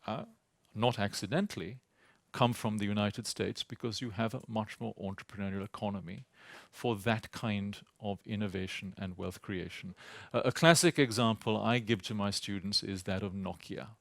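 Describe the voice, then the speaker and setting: A man with a British-sounding accent speaks at a panel in a low, gravelly voice. His delivery is measured and explanatory, often halting and repeating words as he looks for the right phrase. The sound is dry and close, with no room echo. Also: a bit bright. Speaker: university teacher. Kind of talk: conference panel talk. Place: think-tank event hall.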